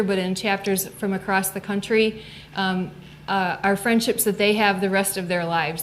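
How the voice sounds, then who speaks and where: A woman's voice: mid-range voice, a little hiss or hum. A woman, a student club advisor, giving an upbeat, fluent seminar talk in a lecture room.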